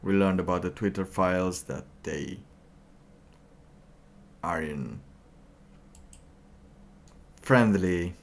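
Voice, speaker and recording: A man livestreaming a casual monologue in a halting, rambling way, with phrases that trail off. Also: sits low.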